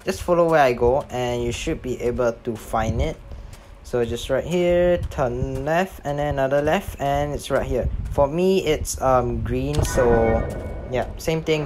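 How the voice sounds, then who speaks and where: A man's voice: medium pitch, a little mellow. A man giving a casual, step-by-step video game walkthrough in an informal, somewhat hesitant way. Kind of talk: casual game tutorial commentary.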